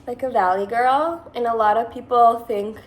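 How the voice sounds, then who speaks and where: A woman's voice: fairly high pitch, moderate pace, poor audio. Chatty young woman, Valley-girl lilt. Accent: Californian. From Massachusetts, USA. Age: 20s. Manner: chatty and rambling, lots of "like". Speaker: beauty vlogger. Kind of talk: casual makeup tutorial.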